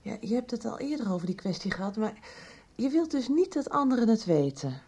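An actress in a radio soap playing a calm, practical confidante. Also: female voice, on the high side, a little fast, slightly rough, exaggerated voice. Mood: calm, probing.

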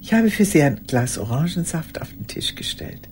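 An actress playing a lonely old woman who confesses haltingly and intimately in a radio drama monologue. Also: sits low, sing-song, a little hiss or hum. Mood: weary, confiding. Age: older. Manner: hesitant, halting, intimate, with hushed phrase endings.

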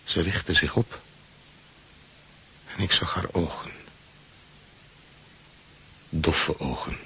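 An actor playing a seafaring man who narrates grim memories in a measured, sombre radio play style. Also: rumbling low, gravelly, sharp tone, slight background noise, heavily acted, poor audio.

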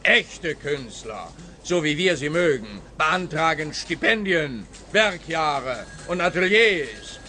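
A man's voice: a little high, very animated, slow pace, bright, forceful tone, soft background music, exaggerated voice. An actor playing a pompous, grumbling, self-righteous policeman in a comic radio play, speaking in an emphatic, lamenting way. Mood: indignant. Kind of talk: radio play comedy dialogue.

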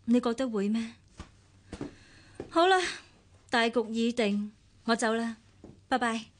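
An actress playing a wounded, bitter office secretary in a radio drama, with a Hong Kong Cantonese accent. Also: female voice, a little high, steady tone, takes it slowly, long reverb tail, slight background noise.

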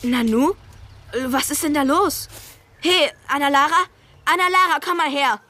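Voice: sits high, moderate pace, bright, forceful tone. An actor voicing an eager schoolboy who shows off about his superhero in a children's radio play. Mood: curious.

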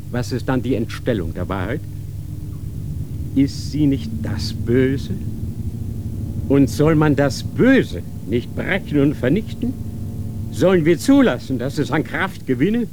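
Cold, worldly inquisitor in a radio play, unhurried lecturing delivery. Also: male voice, mid-range voice, very animated, music in the background, rough recording. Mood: severe. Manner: lecturing, severe, rhetorical questions. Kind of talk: radio drama acting.

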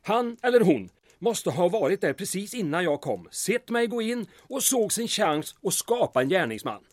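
An actor playing a courteous, beleaguered small-town mayor in a radio drama, with a formal, wordy and drily ironic manner. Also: male voice, high-pitched, sing-song, no echo, studio quality. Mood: worried, reasoning.

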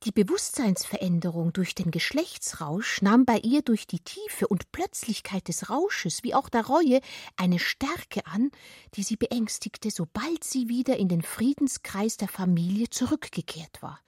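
Breathy woman narrating literary fiction. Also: medium pitch, big pitch swings, a little slow, darkish tone, no room sound, studio quality. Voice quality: breathy, often falling to a whisper. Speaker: narrator of a radio literary adaptation. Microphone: close studio mic, dry.

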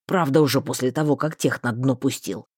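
A woman voicing a bluff, talkative male mage with a rough, folksy, rambling way of speaking. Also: very deep voice, brisk, darkish tone, no room sound, studio quality, voice pushed down.

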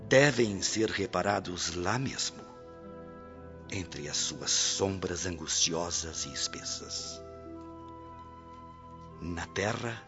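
A very deep Brazilian spirit guide, solemn and benevolent, preaching to souls in a radio drama. He speaks very slowly, like a formal orator. The recording is somewhat dull, with soft music underneath. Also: full character voice. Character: solemn benevolent male spirit mentor addressing departed souls.

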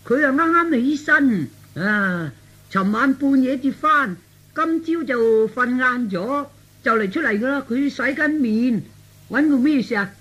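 A woman's voice: high voice, expressive, a little slow, a bit bright, slightly rough, dull audio, heavily acted. An actress playing an easygoing elderly mother in a radio drama, speaking colloquial, exclamatory Cantonese-accented dialogue. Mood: casual, friendly. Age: older. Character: elderly mother, easygoing but curious about her grown son.

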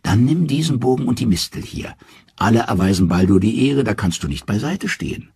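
A man's voice: mid-range voice, expressive, a little fast, darkish tone, husky, voice pushed up. A man voicing a scheming male trickster god, speaking in a thin, wheedling voice. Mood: sly.

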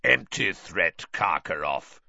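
A grizzled veteran growls out blunt words in a husky voice, as a male audiobook narrator puts him on. He sounds tough and sardonic. The recording is dry and slightly dull. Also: sits low, expressive, takes it slowly, bright, forceful tone, no room sound, full character voice. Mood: growling. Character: gruff limping veteran auror, man, grizzled.

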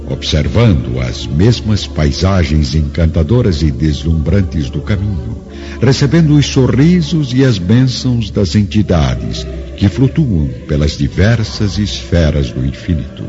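A man narrating a radio drama in a solemn, literary, scripted style. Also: sits low. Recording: muffled radio sound over clear music.

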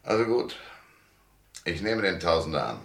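An actor playing a brusque, wry private detective in a German radio drama, with a sardonic, curt delivery. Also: male voice, sits low, sing-song, normal speed, gravelly, roomy sound, full character voice. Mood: cool, deliberate. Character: Marlow, brusque, wry, hard-boiled male private detective.

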